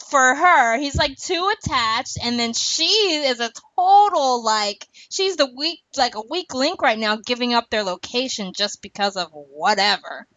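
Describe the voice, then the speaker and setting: A woman hosting a casual podcast chat, talking fast and laughing often between points. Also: fairly high pitch, no room sound. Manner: chatty, laughs often, animated asides. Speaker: podcast host. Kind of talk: casual chat about a TV show. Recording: dull lo-fi, dry.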